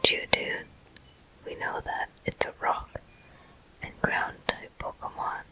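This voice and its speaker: Very slow whispered ASMR game commentary. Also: sharp tone, low-quality recording. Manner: slow, deliberate, pausing between short phrases. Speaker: gameplay host.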